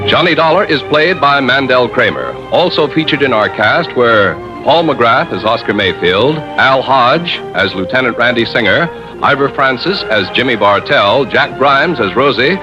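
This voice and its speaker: A man's voice: mid-range voice, lively intonation, normal speed. A man announcing credits formally as an old-time radio announcer, on a vintage broadcast recording with theme music. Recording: dull, band-limited vintage radio broadcast.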